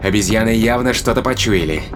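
An actor playing an eager spaceship researcher in a science fiction audio drama, speaking in a colloquial, commanding way. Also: male voice, lowish voice, brisk, lightly acted. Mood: commanding, excited. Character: eager male spaceship researcher running an animal experiment. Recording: music behind the voice.

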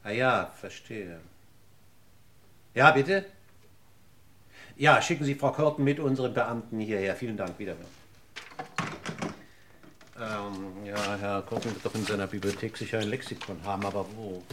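An actor playing a courteous, methodical police inspector in a German radio crime drama, questioning with formal, deliberate politeness. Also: male voice, lowish voice, lightly acted. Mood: businesslike, then musing, searching. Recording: noisy old radio play recording.

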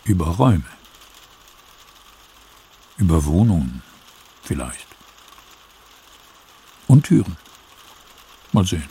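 A man playing a reflective, laconic voice in a literary radio feature, speaking in a measured, pensive way. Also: low voice, sing-song, dark tone, slightly rough, exaggerated voice.

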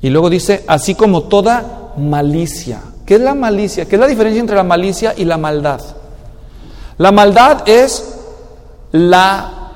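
A man's voice: high-pitched, lively intonation, measured pace. A man preaching a sermon in an emphatic manner, now and then mimicking people's excuses. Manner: emphatic, acts out excuses in a mocking tone. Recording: long hall reverb.

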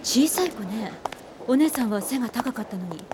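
An actress playing a composed, analytical senior detective in a radio drama, speaking deliberately and with authority. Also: female voice, fairly high pitch, lively intonation, darkish tone, a little hiss or hum, lightly acted.

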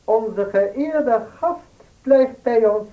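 An actor playing a deferential baker eager to please in a Dutch radio play, unhurried and obsequious, recorded at a distance. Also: male voice, extremely high pitch, phone-quality sound. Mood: flattering. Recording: distant from the microphone.

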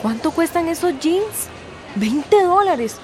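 A woman's voice: very high voice, sing-song, takes it slowly, darkish tone, faint music behind, exaggerated voice. An actress playing a modest, hesitant young migrant woman in a radio soap. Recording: faint crowd behind.

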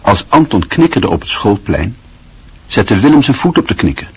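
A man narrating a literary radio drama in a measured, even storytelling manner. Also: lowish voice, slightly rough.

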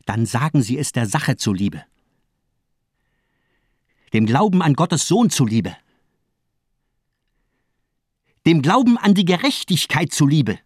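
A man's voice: a little high, very animated, a little slow, long pauses, breathy edge, no room sound, studio quality, full character voice. A man acting in a radio drama as a fanatical, mocking doctor, declaiming with insistent, rhetorical force. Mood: frenzied demanding. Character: fanatical, mocking villainous male doctor, zealously demanding.

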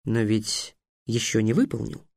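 A man voicing a stubborn young boy wizard who talks in a low, grumbling, insistent way. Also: a little slow, creaky, a little mellow, no echo, clean studio sound.

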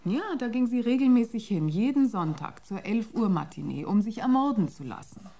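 Intense, mellow-voiced fugitive woman. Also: fairly high pitch, expressive, normal speed. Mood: intense recounting. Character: earnest female programmer on the run, intense and sharp-witted. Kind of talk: radio drama acting.

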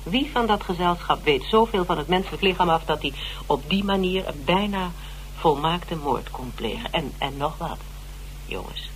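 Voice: medium pitch, expressive, normal speed, lightly acted. A determined investigator played by a radio drama actor speaks in a middling register. The delivery is persuasive and insistent, full of repetitions and tag questions, over light hum and noise. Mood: pondering. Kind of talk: Dutch radio drama acting. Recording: noisy old radio drama recording with hum.

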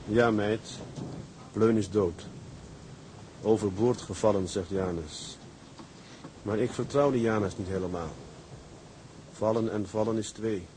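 A man's voice: lowish voice, measured pace, long pauses, a little mellow, close to an everyday voice. A man acting a brooding, plain-spoken ship's captain, tender toward his wife, in a radio drama. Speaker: actor. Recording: noisy radio play with background ambience.

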